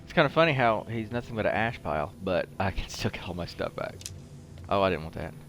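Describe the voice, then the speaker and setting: A man giving casual commentary as he plays a video game, rambling and halting as he goes. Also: low-pitched, expressive, a bit bright. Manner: rambling, halting, talks while playing. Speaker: host of a gaming show.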